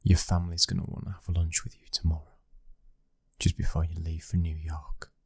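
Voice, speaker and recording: A British man playing a commanding young mafia heir in an intimate ASMR roleplay, speaking in a measured, breathy voice that often drops toward a whisper. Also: sits low, monotone, no room sound, clean studio sound. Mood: firm, serious. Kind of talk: ASMR boyfriend roleplay, scripted acting.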